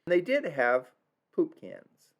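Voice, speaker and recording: A man's voice: a little high, big pitch swings, slow. A man narrating his travel video in an earnest, reflective way, stumbling now and then over German place names. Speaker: travel vlogger narrating a tour.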